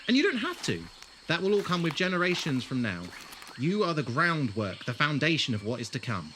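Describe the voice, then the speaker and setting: An actor playing an eccentric, wordy alien time traveller in an audio drama, grandiose and wry. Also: male voice, on the high side, very animated, brisk, sharp tone, slight background noise. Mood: enthusiastic, grand.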